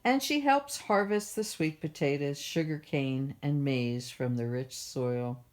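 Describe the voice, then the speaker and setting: A woman reads a picture book aloud for children at a library storytime. Her voice sits low, and she takes her time with each phrase. The delivery is measured and expressive, in the style of a storyteller.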